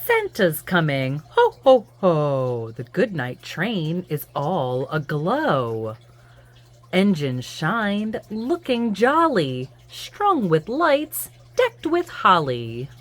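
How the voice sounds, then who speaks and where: A woman reading a children's picture book aloud in an expressive, singsong storytelling manner. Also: fairly low pitch. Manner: animated, singsong, deliberate storytelling. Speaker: children's book reader and host.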